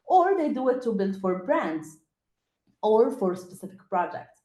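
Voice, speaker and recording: A woman's voice: on the high side, sing-song, a little slow, dark tone. A woman from Lebanon with a Lebanese accent and Arabic as her first language, introducing herself as a panelist to students on an online call. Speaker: panelist, tech programme lead. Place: indoor room, online video call. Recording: roomy, echoey call audio.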